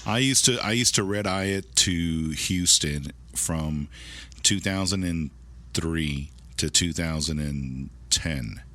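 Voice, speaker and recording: A middle-aged man with a notably deep, low voice talks casually as an interview guest. He sounds like a manager explaining his work, circling back over phrases as he goes. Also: slow, sharp tone, dry recording. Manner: rambling, repeats phrases.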